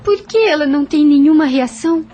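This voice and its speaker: A woman's voice: high voice, a little fast, dark tone, muffled recording, faint music behind, natural voice. A woman acting a young, compassionate and determined plantation mistress in an audio drama, earnest and commanding. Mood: concerned.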